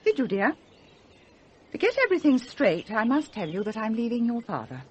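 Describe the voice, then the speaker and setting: A woman's voice: on the high side, very animated, fairly quick, muffled recording, a little hiss or hum. An actress playing a bored, rebellious upper-class married mother in a radio play, with an English accent and an articulate, self-mocking, theatrical manner. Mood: flustered, brisk. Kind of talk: British radio play acting.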